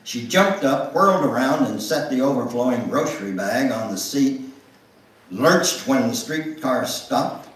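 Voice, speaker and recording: An older man reads a memoir aloud with deliberate, theatrical storytelling. His pace is slow and his pitch sits in the middle range for a man. The sound is very echoey, picked up at a distance in a room. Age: older, 70s or above. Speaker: guest speaker reading aloud. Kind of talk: dramatic reading of a memoir. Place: church sanctuary, at a lectern. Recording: echoey room, recorded from far off.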